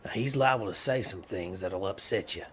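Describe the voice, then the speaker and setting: An actor playing a scheming, menacing western gang boss with a gravelly voice and a rural American drawl, commanding and slyly coaxing, in an audio drama. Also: male voice, sits low, moderate pace, a bit bright, slight background noise.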